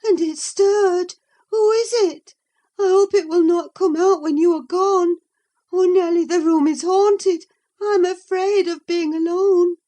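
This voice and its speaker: A woman's voice: sits high, takes it slowly, warm tone, pitched above the performer's own voice. A woman reading an audiobook, voicing a feverish, delirious young woman who swings between dreaminess and panic. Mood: terrified. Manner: dreamy then panicked, pleading.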